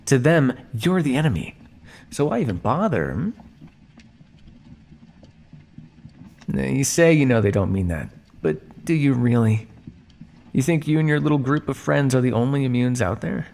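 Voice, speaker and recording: Male actor as vampire scientist captor for audio roleplay: creaky, swooping delivery, smug and theatrical, some hiss on the recording. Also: medium pitch, expressive, darkish tone. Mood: condescending. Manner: smug, measured, teasing, theatrical. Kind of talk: ASMR roleplay monologue addressed to listener.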